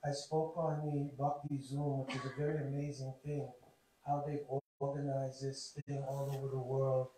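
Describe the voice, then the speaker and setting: A hoarse man giving an earnest, measured devotional talk, explaining points step by step. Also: mid-range voice, level tone, mellow, a little hiss or hum. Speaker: devotee speaker. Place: temple hall. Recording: very echoey hall, voice from far off.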